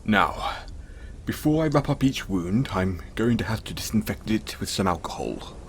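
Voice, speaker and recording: A man playing a gentle, caring, self-conscious centaur in an intimate audio roleplay, speaking in a soothing, hesitant, apologetic way. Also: on the low side, very animated, normal speed, slight background noise. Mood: kind, reassuring.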